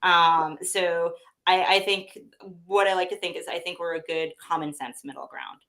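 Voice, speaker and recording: Sniffly woman rambling about pet food, lowish voice, lots of um and like. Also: steady tone, moderate pace, fairly bright tone. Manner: chatty, many fillers and restarts. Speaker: pet food company representative. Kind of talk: casual conversational interview.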